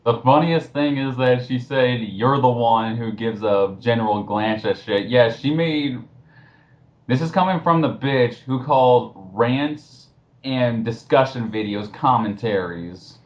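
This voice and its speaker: A man with a medium-pitched voice and an unhurried pace, heard through dull, lo-fi voice-chat audio. He makes snide, blunt remarks as a casual co-commentator.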